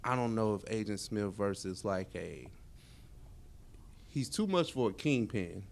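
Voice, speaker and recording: A man's voice: lowish voice, expressive, measured pace, vocal fry. A man co-hosting a podcast, talking in a slangy, informal, conversational way. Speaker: podcast co-host. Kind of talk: casual chat about music, films and sports.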